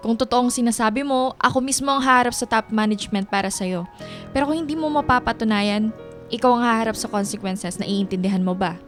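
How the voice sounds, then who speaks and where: A woman acts out a formal office manager in a radio drama. Her voice sits a little high, firm and measured, over light background music. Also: fairly bright tone, natural voice. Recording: music behind the voice.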